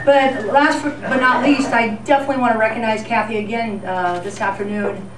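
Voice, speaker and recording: A woman's voice: fairly high pitch, fairly even intonation, moderate pace, heavy background noise. A woman giving an informal thank-you speech as the director at a community event. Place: large indoor room with an audience, voice from far off. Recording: echoey crowded hall, distant mic.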